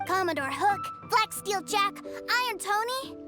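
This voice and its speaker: A woman voicing an innocent, excitable little boy in a video game, with an eager, plain-spoken childlike manner. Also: extremely high pitch, measured pace. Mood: earnest. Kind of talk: video game character acting. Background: background music.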